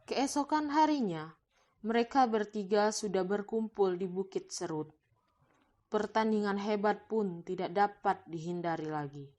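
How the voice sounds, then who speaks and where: A woman's voice: medium pitch, a little slow, dark tone. Female narrator for a cartoon folktale; mid-range voice, scripted storytelling read.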